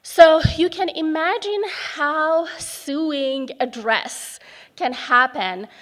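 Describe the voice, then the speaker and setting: A woman presenting her gene therapy research at an expert panel, explaining slowly and carefully with frequent pauses and fillers. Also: high voice. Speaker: research grant speaker. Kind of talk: scientific panel talk. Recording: reverberant conference hall.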